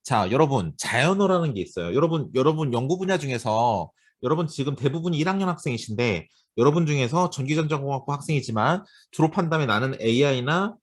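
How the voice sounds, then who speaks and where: A man's voice: medium pitch, quick pace. A man in his forties with a Seoul accent, giving a university lecture in a chatty way and often asking his students rhetorical questions. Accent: Seoul Korean. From Seoul, South Korea. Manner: lively, talkative, addresses students directly with rhetorical questions. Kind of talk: classroom lecture.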